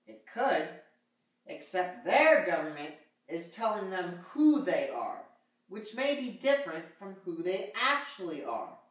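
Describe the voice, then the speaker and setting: A woman plays a knowing male sock puppet who teaches children. He is patient and explanatory, speaking slowly and expressively. The room echoes strongly around the voice. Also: fairly low pitch, big pitch swings. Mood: instructive. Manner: explanatory, measured. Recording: very echoey room, slightly distant.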